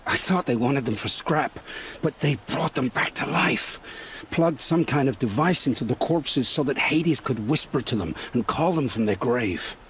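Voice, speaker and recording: A man's voice: medium pitch, animated, normal speed, natural voice. Man acting a fearful informant, noise behind him. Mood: grim, fearful. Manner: dramatic, fearful, vivid storyteller. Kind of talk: video game cutscene acting.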